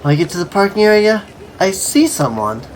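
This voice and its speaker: A woman's voice: medium pitch, very animated, brisk, darkish tone, a little hiss or hum. A woman reading a story aloud and breaking off for casual, conversational commentary. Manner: conversational asides. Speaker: host reading a visual novel aloud.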